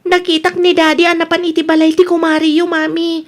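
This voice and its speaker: A woman's voice: very high-pitched, little pitch movement, fairly quick. A woman acting a worried, pleading younger sister in an Ilocano audio drama, with an Ilocano accent. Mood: anxious, pleading.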